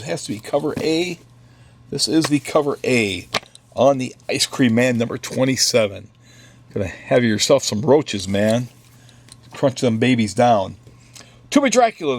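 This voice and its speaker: A relaxed man runs through a stack of new comics in his shop, talking slowly and dropping offhand jokes as he goes. His voice sits at an ordinary middle pitch, and the delivery is unhurried chat, not a performance. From United States. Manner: offhand, joking asides while flipping through comics. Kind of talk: casual product run-through. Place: comic book store.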